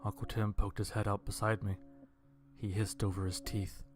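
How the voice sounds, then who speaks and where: A man's voice: lowish voice, flat delivery, moderate pace, soft background music. A man narrating a scripted first-person horror-comedy story in a dry, wry manner.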